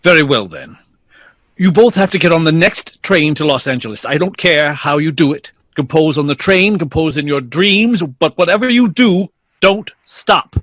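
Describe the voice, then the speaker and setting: A man's voice: fairly high pitch, animated, moderate pace, a bit bright, no room sound. An actor playing an exasperated, commanding bandleader father in an audio drama, speaking with emphatic, formal authority.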